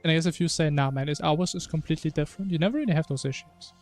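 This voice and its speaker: A man's voice: a little high, rapid-fire, darkish tone, soft background music. A man streaming a video game, chatting casually and musing in a rambling way. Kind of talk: casual chat while gaming.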